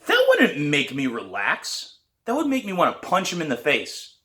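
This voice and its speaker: A man's voice: fairly high pitch, huge pitch swings, moderate pace, sharp tone, voice pushed up, exaggerated voice. A man reading a story aloud and voicing a nervous, defensive teenage drum student. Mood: indignant. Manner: defensive and sulky.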